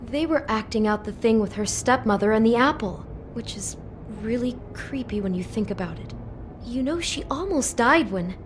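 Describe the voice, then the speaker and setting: An actress playing a shaken, compassionate young woman in a video game, speaking in an anxious, halting, emotional way. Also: fairly high pitch, expressive, background noise, close to an everyday voice. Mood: disturbed. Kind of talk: naturalistic video game voice acting.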